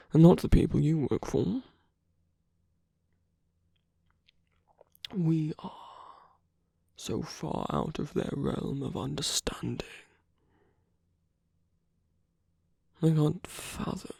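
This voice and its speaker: Slow, husky, breathy seductive villain, played by a man. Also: fairly high pitch, dark tone, dry recording, studio quality, heavily acted. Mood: bitter, conspiratorial. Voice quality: breathy, husky, often near whisper. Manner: slow, deliberate, intimate, teasing. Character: seductive, contemptuous villain, suave male romantic rival. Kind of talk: ASMR romance roleplay.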